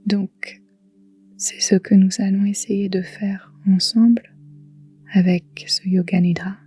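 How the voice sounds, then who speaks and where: A woman guiding a relaxation meditation in a soft, whispery, calm and soothing voice, leaving long pauses. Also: mid-range voice, faint music behind. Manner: soft, breathy, whispery delivery. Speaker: yoga nidra guide.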